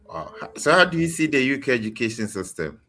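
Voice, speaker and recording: Man, bright forceful voice, lively swinging pitch, interview host, casual. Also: fast, creaky.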